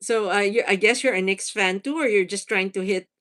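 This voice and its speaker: A woman's voice: mid-range voice, a little fast, a bit bright. Woman, 40s, from the Philippines, native Tagalog speaker, livestream host, chatty, laughs often. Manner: chatty, laughs often, thinks aloud with fillers. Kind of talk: casual livestream chat reading comments.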